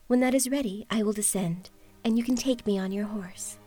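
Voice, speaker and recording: A woman voicing a gentle young maiden with a light, partly whispered voice, her pitch raised a little. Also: fairly quick, faint music behind, natural voice. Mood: earnest.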